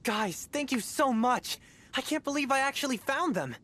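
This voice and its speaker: A woman voicing an earnest, excitable, wide-eyed child adventurer in a video game. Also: high voice, moderate pace. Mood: delighted, grateful.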